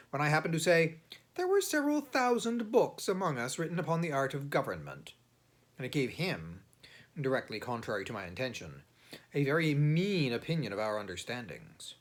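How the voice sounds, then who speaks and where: A man narrating a classic satirical novel as an audiobook reader, in a theatrical, emphatic manner with dramatic pauses. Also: mid-range voice, big pitch swings.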